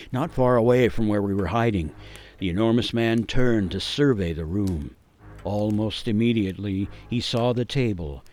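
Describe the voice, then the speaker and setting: A man's voice: on the low side, slight background noise. A man narrating a scripted radio story in a theatrical, suspenseful, dramatic way.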